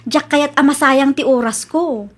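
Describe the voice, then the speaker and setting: Woman acting a trusting young girlfriend, really high voice, radio drama comedy. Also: unhurried. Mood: expectant. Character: hopeful, trusting young girlfriend, easily dismayed.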